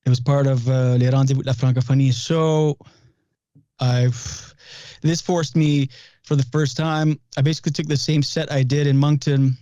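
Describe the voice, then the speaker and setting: Casting: male comedian, 30s, French native speaker from New Brunswick. Warm, relaxed voice, loose storyteller who swears freely. Dull, dry recording. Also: medium pitch, moderate pace, no room sound. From New Brunswick, Canada. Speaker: guest comedian. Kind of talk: casual interview chat.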